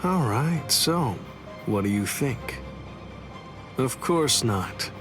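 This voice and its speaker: A man's voice: medium pitch, animated, extremely slow. A man playing a cocky, laid-back rebel swordsman in an anime game, talking in a blunt, wry, casual way. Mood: wry, defiant. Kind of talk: anime game cutscene dialogue. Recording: dialogue over clear background music.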